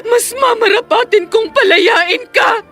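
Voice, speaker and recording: A woman's voice: very high voice, unhurried, fairly bright tone, raised voice, faint music behind, heavily acted. An actress playing an anxious, long-suffering young wife and mother in a radio drama, plaintive and emotional, with a Filipino accent. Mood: anguished defiance.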